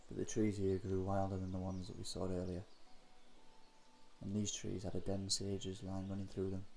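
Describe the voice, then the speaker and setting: Low-voiced man narrating a story. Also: gravelly, warm tone, slight background noise, rough recording. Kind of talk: first-person scripted story narration. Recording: music under the voice. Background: music.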